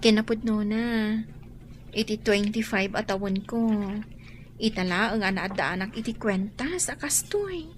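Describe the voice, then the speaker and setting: A woman's voice: mid-range voice, measured pace, slight background noise, lightly acted. An actress playing a sweet, dutiful young working woman in a radio drama. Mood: shy, grateful. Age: young adult. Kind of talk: Ilocano radio drama acting.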